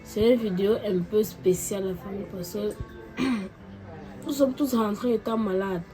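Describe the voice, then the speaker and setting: A woman's voice: mid-range voice, measured pace, low-quality recording. Chatty congested mother with music behind. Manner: chatty, repeatedly addresses viewers as family. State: congested with a cold and cough. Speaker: vlogger mother. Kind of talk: casual family vlog talk.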